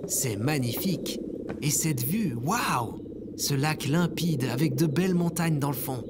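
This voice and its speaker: A man playing an upbeat, excitable lone survivor in comedic audio fiction, with an enthusiastic, exclamatory manner. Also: on the high side, measured pace. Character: upbeat, excitable male last survivor on Earth. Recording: noticeable background noise.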